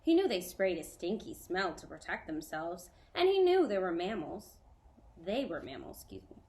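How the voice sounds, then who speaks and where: A woman teacher reading a children's novel aloud to a student, clear, measured and gentle. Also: on the low side, huge pitch swings.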